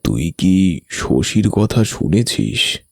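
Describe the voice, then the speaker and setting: A man playing a reticent older village uncle who gravely and haltingly recalls old secrets in an audio story. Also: low voice, big pitch swings, darkish tone, heavily acted. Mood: grave, reminiscing. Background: music.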